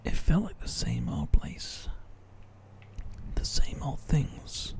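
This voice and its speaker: A man narrating gameplay in a soft, slow, musing ASMR whisper.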